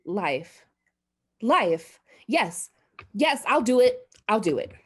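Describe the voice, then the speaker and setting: An actress playing an anxious, frightened wife in a radio drama, speaking dramatically and haltingly with repeated phrases. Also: female voice, mid-range voice, very animated, slightly rough, exaggerated voice. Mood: hesitant, resolving.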